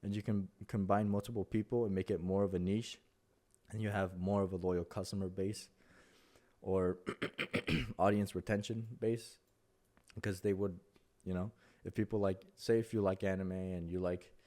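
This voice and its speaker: A man's voice: sits low, fairly even intonation, moderate pace, long pauses, mellow. A young Mexican man with a Spanish-accented voice, giving casual advice as a content creator, rambling with many fillers and restarts. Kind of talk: casual talking-head advice.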